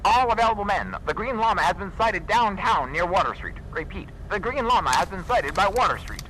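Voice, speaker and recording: A man's voice: high-pitched, lively intonation, fairly quick. An actor playing an urgent male police dispatcher in an audio drama, heard through a radio effect. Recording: noisy crackling police radio.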